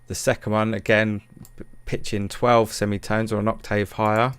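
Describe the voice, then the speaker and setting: Smooth, low-pitched man, hesitant and informal. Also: little pitch movement, normal speed, fairly bright tone, no echo. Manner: hesitant, rambling; informal, self-deprecating. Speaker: music production tutorial presenter. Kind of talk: casual software tutorial narration.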